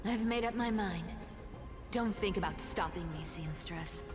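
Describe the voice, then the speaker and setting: A woman's voice: mid-range voice, measured pace, close to an everyday voice. An actress playing a cocky, taunting young female trickster thief in a dramatic, theatrical video game style. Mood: teasing defiance. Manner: theatrical, teasing, defiant. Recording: background music throughout. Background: loud game music.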